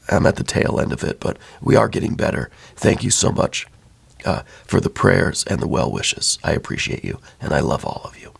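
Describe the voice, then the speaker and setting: A man with a hoarse voice, worn down by laryngitis, giving a soft, thoughtful ASMR tarot reading. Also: low voice. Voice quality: hoarse, breaking into whispers. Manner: soft, thoughtful, with many fillers and repeats. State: losing his voice from laryngitis. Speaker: tarot reader.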